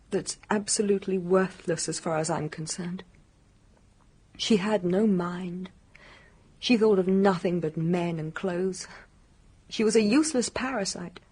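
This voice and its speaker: A woman playing a bitter, contemptuous married hotel guest in a radio mystery, husky and tightly controlled. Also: lowish voice, a little mellow. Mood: bitter, scornful. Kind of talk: radio mystery drama acting.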